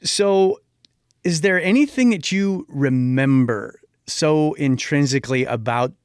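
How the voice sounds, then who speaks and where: A man's voice: a little high, animated, takes it slowly, smooth, no echo, studio quality. A man speaking as a podcast host, presenting and interviewing. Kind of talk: scripted intro and interview.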